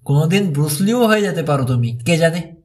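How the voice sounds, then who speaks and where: A man's voice: on the high side, expressive, a little fast, a little mellow, lightly acted. A man voicing a questioning teenage boy detective sidekick in an audiobook, with a challenging manner. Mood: teasing.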